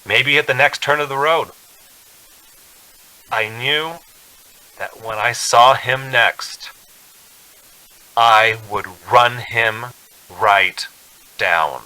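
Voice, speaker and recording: A man playing a haunted, increasingly desperate driver in a radio drama, brooding and halting as he tells his story. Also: medium pitch, pushed and bright, heavily acted, poor audio. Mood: grim dread. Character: haunted, increasingly desperate male motorist narrating his journey. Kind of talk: dramatic radio play narration. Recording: music behind the voice.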